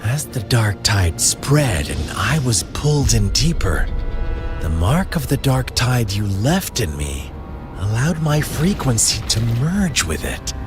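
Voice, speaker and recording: Sadistic chaos-loving game villain, theatrical, mocking, wide swooping pitch, unhurried, music behind. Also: male voice, big pitch swings. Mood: pleased, mocking. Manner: theatrical, mocking, savoring words. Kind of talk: dramatic video game voice acting.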